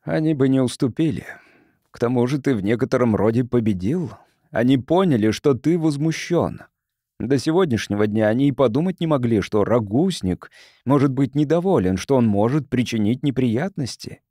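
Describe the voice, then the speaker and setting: Man as an earnest, mellow visiting scientist. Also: medium pitch, animated, normal speed, dry recording, clean studio sound, close to an everyday voice. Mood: reassuring, reasoning. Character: earnest, conciliatory male visiting sociologist from Earth.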